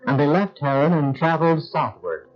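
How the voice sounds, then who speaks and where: A man's voice: fairly high pitch, big pitch swings, moderate pace. Guy narrating a bible radio drama, solemn storyteller delivery, music behind, bit dull sounding. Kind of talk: scripted biblical drama narration. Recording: muffled sound with music underneath.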